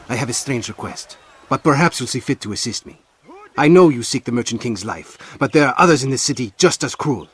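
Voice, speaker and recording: An actor playing an earnest, concerned informant with a husky voice in a video game. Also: male voice, mid-range voice.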